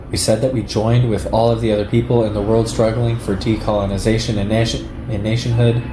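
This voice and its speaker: Low male narrator, measured political lecture read from text, roomy sound with noise behind. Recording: echoey room with clear background noise.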